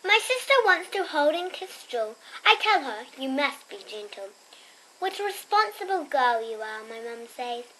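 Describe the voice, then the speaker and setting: Young girl reading aloud, very animated, high-pitched. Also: moderate pace, slight background noise, rough recording. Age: child. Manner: expressive reading, dropping into quieter asides. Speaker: storybook reader and host. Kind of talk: read-aloud of a picture book with chatty asides.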